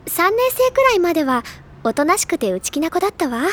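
A very high-pitched bookish schoolgirl. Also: fairly quick, slight background noise. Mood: wistful. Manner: bright, excitable and wistful, polite feminine speech. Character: bookish cheerful high-school girl, dreamy and eager. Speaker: book-loving older student. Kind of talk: anime drama CD acting.

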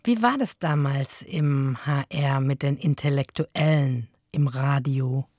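A woman's voice: a bass voice, takes it slowly, darkish tone, dry recording, studio quality. A woman interviewing in a conversational radio style.

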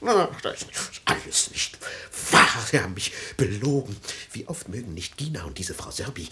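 A man's voice: lowish voice, sing-song, moderate pace, bright, forceful tone. An actor playing an anguished, suspicious father in a radio drama, emotional and declamatory, moving between muttering and outcry. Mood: wounded, bitter. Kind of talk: theatrical radio drama acting.

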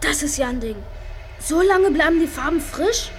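Naive chubby-cheeked kid sidekick, high voice, easygoing, puzzled by everything; children's radio play, slight noise. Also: female voice, expressive. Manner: guileless, slow on the uptake. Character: naive, easygoing chocolate-loving schoolboy sidekick. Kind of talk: German children's audio drama acting.

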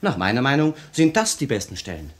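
Earnest young revolutionary, vividly expressive. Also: male voice, medium pitch, a little fast. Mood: businesslike, intent. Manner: earnest, impassioned, candid. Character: earnest, idealistic young male revolutionary, candid and nervous. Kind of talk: 1950 German radio play acting.

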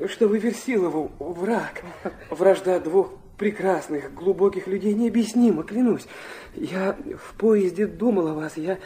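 An actor playing an impulsive, awkward teenage youth in a classic audio drama, with earnest, halting declarations. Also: male voice, high voice, mellow.

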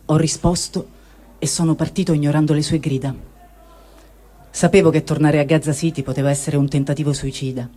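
A man with a mellow, darkish tone and a somewhat high voice reads a first-person diary aloud. He keeps a calm, unhurried pace throughout. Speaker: reader.

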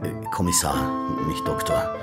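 A very deep, sardonic police inspector. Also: male voice, steady tone, a little slow. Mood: bemused. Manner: dry, sardonic, hesitant when pressed. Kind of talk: German radio drama acting. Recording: music behind the voice.

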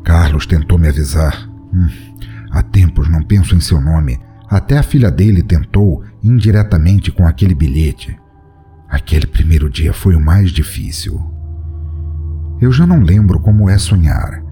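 A man hosting an audio drama podcast and narrating a horror story in an expressive, dramatic reading style. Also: deep voice, normal speed, soft background music.

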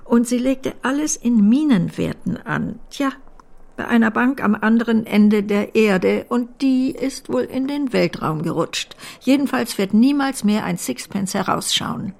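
An actress playing a blunt but loving older great-aunt in a German radio drama, dry and emphatic in a theatrical period style. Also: female voice, on the high side, lively intonation, unhurried, creaky, a little mellow. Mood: brisk, matter-of-fact. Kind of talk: German radio play acting of a period novel.

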